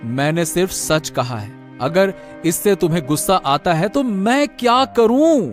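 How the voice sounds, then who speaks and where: A helpless village husband from an animated moral tale, acted by a man. He complains and pleads in a high voice, with soft music underneath. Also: unhurried. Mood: exasperated. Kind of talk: Hindi animated moral story dialogue.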